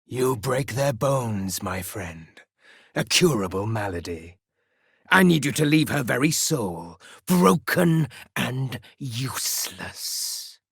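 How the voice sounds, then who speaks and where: An actor playing a mysterious, brooding male avenger in a video game, speaking in grave, measured, formal phrases with a gravelly, hushed edge. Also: medium pitch, animated, dry recording, clean studio sound, full character voice. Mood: grim, commanding. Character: mysterious, brooding male avenger who hunts and torments murderers. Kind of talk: dramatic video game voice acting.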